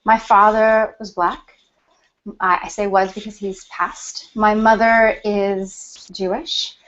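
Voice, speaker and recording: A woman hosting a panel on a video call, excitable and laughing, talking in quick bursts. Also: mid-range voice. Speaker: panel host. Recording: dull lo-fi video call audio.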